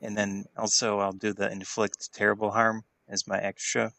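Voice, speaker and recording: Low-voiced man playing a tabletop game. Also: flat delivery, moderate pace, creaky, bright, forceful tone, no room sound. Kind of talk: casual game chat.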